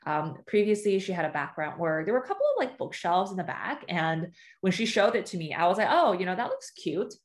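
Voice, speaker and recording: A woman's voice: medium pitch, very animated, brisk, few pauses. A woman startup founder talking casually as an interview guest, with frequent "you know" and "right?" fillers.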